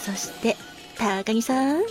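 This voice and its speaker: High-voiced woman, polite and effusively grateful. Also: takes it slowly, over loud music. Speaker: podcast host. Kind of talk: casual solo talk show, thanking listeners.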